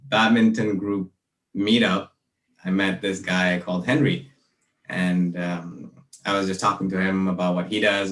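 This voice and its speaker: Earnest young man, deep, roomy and distant. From Malaysia and Brunei. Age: young adult. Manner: earnest, reflective, steady. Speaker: podcast guest. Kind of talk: casual interview.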